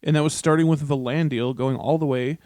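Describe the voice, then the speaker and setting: A man's voice: fairly high pitch, steady tone, brisk, darkish tone, no room sound. A man hosting a casual fan podcast, talking in an informal, jokey way. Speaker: podcast host.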